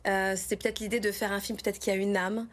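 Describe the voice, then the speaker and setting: A woman's voice: mid-range voice, level tone, fast talker. A middle-aged woman from the Lyon region of France answers as an interview guest, speaking enthusiastically and repeating words for emphasis. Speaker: interview guest, film director.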